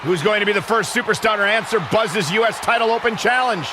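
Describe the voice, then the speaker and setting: A high-pitched actor as an excited male wrestling play-by-play announcer in a video game. He projects every line emphatically, over loud arena noise. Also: quick pace, a crowd in the background, lightly acted. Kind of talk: excited sports commentary.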